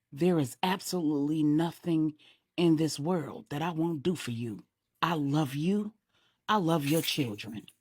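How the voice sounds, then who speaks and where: A woman voicing an older man, a steady, grieving stepfather, speaking earnestly and pleadingly in a storytelling reading. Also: low-pitched, breathy edge, no room sound, clean studio sound, full character voice. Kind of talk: audiobook-style storytelling.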